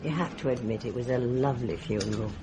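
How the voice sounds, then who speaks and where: Very low-voiced gossipy nurse. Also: female voice, background noise. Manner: brisk, opinionated, gossipy. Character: middle-aged nurse, brisk, gossipy, defensive and plain-spoken. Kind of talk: British radio mystery drama acting.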